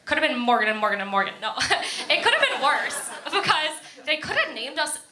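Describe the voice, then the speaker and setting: A woman with an American accent performs stand-up in an echoey club. Her voice sits fairly high and has a bright, forward edge. She tells stories casually at her own expense and often giggles through them. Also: big pitch swings, a little fast. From Florida, United States. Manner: self-deprecating, casual storytelling. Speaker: stand-up comedian. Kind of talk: stand-up comedy set. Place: indoor comedy club stage. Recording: echoey room, live comedy club.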